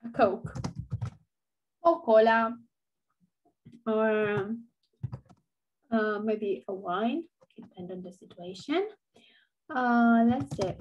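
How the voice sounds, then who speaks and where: A woman's voice: a little high, a little mellow, slight background noise, poor audio. A young woman with a Romanian accent, a native speaker teaching an online language lesson into her laptop webcam, patiently repeating words for learners.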